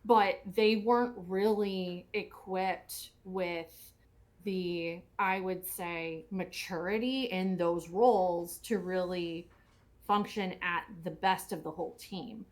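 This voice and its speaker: A woman chatting in a group commentary, explaining her thoughts through drawn-out comparisons. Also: fairly low pitch, fairly even intonation. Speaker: panelist in a cast and crew commentary. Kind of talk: casual group discussion.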